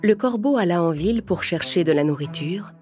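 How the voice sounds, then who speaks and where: A woman with a slightly low voice tells a children's fable. She reads in a measured, expressive storyteller's style over clearly audible music. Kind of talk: scripted children's fable narration.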